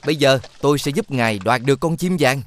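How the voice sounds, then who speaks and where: A man voicing a clever, helpful talking fox in an animated fairy tale, coaxing and explaining. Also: mid-range voice, quick pace, dry recording, faint music behind, lightly acted. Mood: scheming, reassuring.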